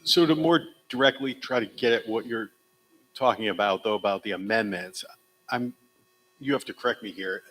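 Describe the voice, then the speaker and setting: Man, lowish voice, crisp bright tone, careful, thinks aloud, clarifying questions. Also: moderate pace, low-quality recording. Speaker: resident asking questions. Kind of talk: public meeting questions. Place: school meeting room.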